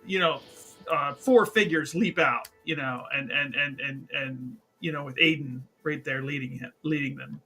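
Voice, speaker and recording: A man playing a tabletop game in casual chat, excitable and repeating words as he goes. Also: medium pitch, moderate pace, husky, soft background music.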